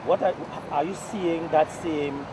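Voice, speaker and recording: A man's voice: a little high, a little slow, noisy background. Hesitant male interviewer. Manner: hesitant, stumbling over his questions. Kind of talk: interview questions.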